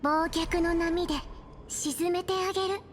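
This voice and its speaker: A woman's voice: very high-pitched, steady tone, a little fast, slight background noise. A woman playing a bold, mysterious young woman in an anime game, playful yet solemn and resolute. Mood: solemn, dramatic. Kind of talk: Japanese anime game voice acting.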